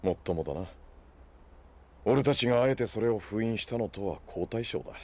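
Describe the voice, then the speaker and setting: A shrewd, self-possessed leader with a low voice. He speaks coolly and dryly in measured phrases, in anime audio drama style. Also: lively intonation, darkish tone. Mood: composed. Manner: cool, measured, dry. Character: composed, shrewd adult man, dryly teasing leader.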